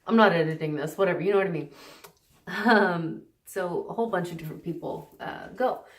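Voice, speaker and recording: A woman with a low voice talks loosely to camera from her stairs at home, full of ums and restarts and at times hushed. The sound is roomy and echoey, with the mic set back from her. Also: brisk. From Canada. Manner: rambling, many fillers and restarts, partly hushed. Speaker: recovery vlogger. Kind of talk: casual unscripted talk to camera. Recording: echoey, mic some distance away.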